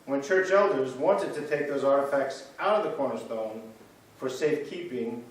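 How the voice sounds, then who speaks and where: A man's voice: medium pitch, moderate pace, far from the mic. Man reading in an echoey church. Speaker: reader. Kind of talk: reader's theater.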